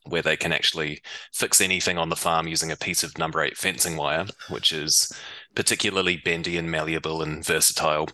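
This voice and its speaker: A man from New Zealand with a New Zealand accent, a startup co-founder and CEO explaining things in a relaxed way as a podcast guest. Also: low-pitched, dry recording, low-quality recording. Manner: relaxed explaining, uses reckon and heaps. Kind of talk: podcast interview.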